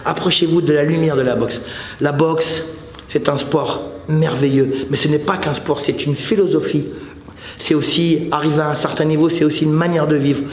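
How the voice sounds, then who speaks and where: A man's voice: fairly high pitch, steady tone, moderate pace, reverberant. A man, giving informal, joking motivational advice as a Muay Thai coach and video host. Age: late 50s. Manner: joking, clownish, colloquial.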